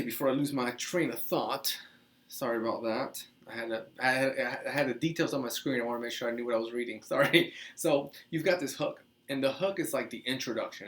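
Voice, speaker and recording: A man in his thirties, from the Dominican Republic, hosting a casual teaching video, talking with lively hand gestures in a small office. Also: medium pitch. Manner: animated, gestures a lot, chatty with restarts. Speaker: host. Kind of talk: casual teaching monologue to camera. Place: small office with couch, indoors.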